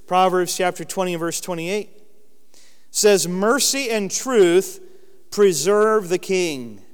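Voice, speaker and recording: A man with a loud voice giving an earnest Bible lesson in sermon style, stressing key words slowly. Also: high-pitched, smooth, in a large hall. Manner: earnest, emphatic with slow stressed phrases. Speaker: Bible teacher.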